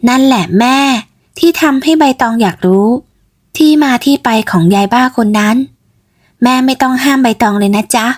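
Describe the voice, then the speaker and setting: A woman voicing a lively young flower seller in a storybook tale, inquisitive, headstrong and chirpy. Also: a little high, moderate pace, natural voice. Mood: curious, stubborn. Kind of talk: storybook character voice.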